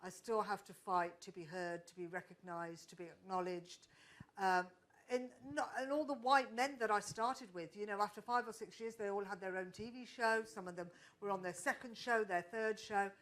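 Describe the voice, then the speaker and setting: A woman's voice: mid-range voice, fairly even intonation, moderate pace, a bit bright. Woman, stand-up comic, live panel guest, candid, reflective, restarts often. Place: stage at a live podcast event.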